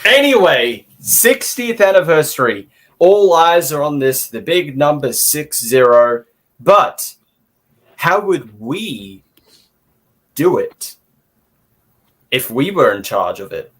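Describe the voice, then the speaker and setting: A man co-hosting a fan discussion in a loose, casual way, haltingly circling back on his phrases. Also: fairly high pitch, very animated, fairly bright tone. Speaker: podcast co-host.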